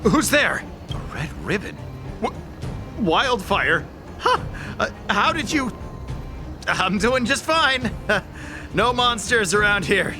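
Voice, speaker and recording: A man's voice: high-pitched, very animated, takes it slowly, long pauses, music in the background, exaggerated voice. A man voicing a shifty, nervous petty thief in a video game, stammering and defensive. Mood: evasive, startled.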